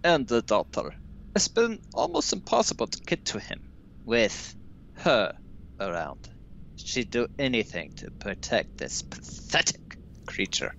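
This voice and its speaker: A man's voice: mid-range voice, huge pitch swings, a little slow, vocal fry, a bit bright, highs cut off, a little hiss or hum, heavily acted. A man playing a haughty, sly vampire villain in a theatrical style for a comedic audio drama. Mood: contemptuous.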